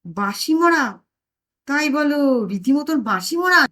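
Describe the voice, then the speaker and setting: A woman's voice: sits high, very animated, unhurried, bright, forceful tone, voice pushed up, full character voice. A woman voicing a naive, fretful housewife in a comic story, exclaiming and scolding in a plaintive way. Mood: shocked, indignant.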